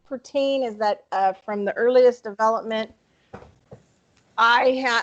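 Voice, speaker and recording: A woman's voice: mid-range voice, muffled recording. A woman hosting a group video call, chatting casually and rambling with many fillers. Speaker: host.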